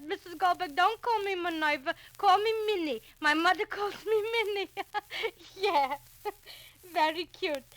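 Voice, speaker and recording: A warm, flustered immigrant mother in a 1940s radio comedy-drama. Her voice is high and bright, with a New York Yiddish accent. She repeats herself effusively and turns her phrases around. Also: no room sound, full character voice, rough recording.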